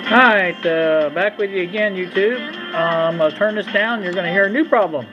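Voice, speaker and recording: A middle-aged or older man casually talking through a hands-on repair at a workbench, in a halting, folksy, unhurried way. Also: sits high, loud music behind the voice. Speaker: hobbyist radio repair vlogger. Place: indoor workbench. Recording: dull lo-fi sound with background noise.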